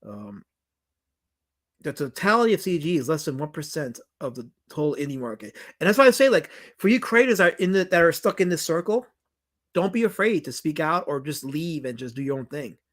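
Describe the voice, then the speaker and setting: Man, New York accent, somewhat high voice, rambling, emphatic, casual livestream talk. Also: lively intonation, fairly quick, a bit bright. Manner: rambling and emphatic, with many restarts and repetitions. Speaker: documentary director hosting a livestream Q&A. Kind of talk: casual livestream chat, reading viewer comments.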